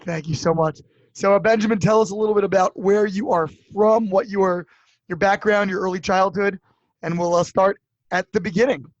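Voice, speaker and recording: A man's voice: high-pitched, fairly even intonation, moderate pace, no room sound, highs cut off. A man, a rabbi, hosting a podcast interview in a friendly manner with casual fillers.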